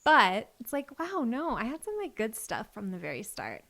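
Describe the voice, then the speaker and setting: A woman's voice: on the high side, huge pitch swings, normal speed, fairly bright tone. A woman in her thirties hosting a podcast, talking casually with a guest and using lots of "like" fillers. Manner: chatty, frequent "like" fillers. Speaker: podcast host and business coach. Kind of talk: casual conversation.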